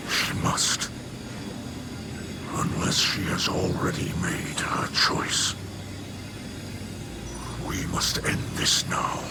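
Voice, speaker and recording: A man playing a solemn, protective alien leader and troubled father in a video game, gravelly, formal and grave. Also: on the low side, very noisy. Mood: troubled, resolute. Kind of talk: video game mission narration.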